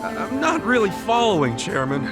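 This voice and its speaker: An actor playing a brash, excitable ex-yakuza hero in a game cutscene, blunt, slangy and expressive. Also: male voice, sits high, normal speed. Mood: incredulous. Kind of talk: animated game cutscene acting. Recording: music behind the voice.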